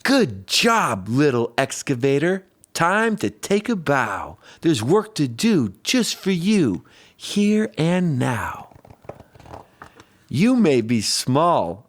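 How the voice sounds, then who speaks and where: Slow, very animated man reading aloud. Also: mid-range voice. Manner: playful, drawn-out emphasis on sound words. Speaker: children's book reader. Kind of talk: expressive read-aloud storytelling of a rhyming picture book.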